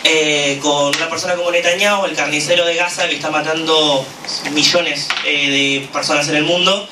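A man with an Argentine accent giving an impassioned political speech at a gathering, full of "eh" pauses. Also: fairly high pitch, fairly even intonation. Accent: Argentine Spanish. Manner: fervent, with frequent "eh" fillers. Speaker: activist speaker at a political gathering. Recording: echoey room, from a distance, noisy.

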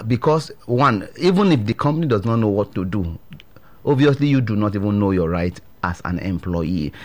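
A Nigerian man speaking as an HR expert on a radio show, with a bold, deep voice, explaining with frequent restarts and chuckles. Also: a little mellow. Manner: hesitant restarts, laughs. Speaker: HR expert guest. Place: radio studio.

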